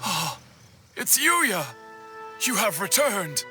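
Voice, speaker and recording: An actor playing an earnest, expressive man in a video game, articulate and deliberate, with music behind him. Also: sits high, heavily acted. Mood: relieved delight. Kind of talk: scripted game dialogue.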